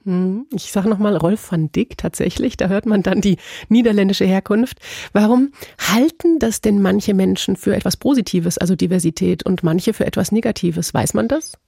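A woman interviewer asks thoughtful, probing questions. Her voice is mellow and slightly husky, with a gravelly edge, and it sounds dry and close. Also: mid-range voice, moderate pace, hardly any pauses, no echo.

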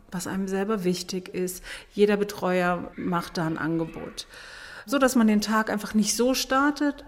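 A woman who runs youth camps talks in an interview, explaining things in a loose, unscripted way. She pauses to restart her sentences now and then. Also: mid-range voice. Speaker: youth camp organizer. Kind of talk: interview answers.

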